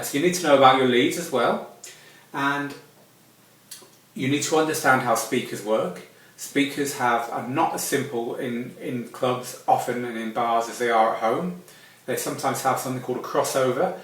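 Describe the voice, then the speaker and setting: A man's voice: medium pitch, a bit bright. A man giving an informal, conversational tutorial as a DJ instructor, explaining things in a hands-on way. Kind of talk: informal spoken tutorial with demonstrations. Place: indoor room with strong echo. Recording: very echoey room, distant microphone.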